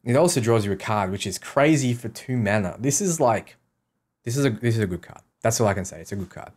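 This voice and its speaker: Low-pitched man answering livestream questions. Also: lively intonation, brisk, darkish tone. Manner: reads questions aloud, answers offhand with short repeated sentences. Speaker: game streamer answering chat questions. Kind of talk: casual livestream Q&A.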